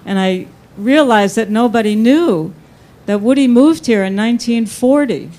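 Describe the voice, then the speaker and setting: A woman's voice: on the high side, measured pace, slight background noise. A woman in her sixties with a Brooklyn accent, chatting casually on a panel as an author and telling anecdotes. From New York. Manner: chatty and relaxed, with fillers like "like".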